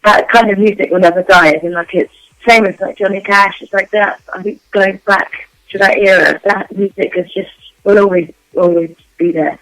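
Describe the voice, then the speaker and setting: A woman singer being interviewed, her voice worn out and going hoarse, chatting loosely with lots of ums and restarts. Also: medium pitch, steady tone, moderate pace, fairly bright tone, no echo, poor audio. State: losing her voice. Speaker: guest vocalist. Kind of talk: casual interview answers.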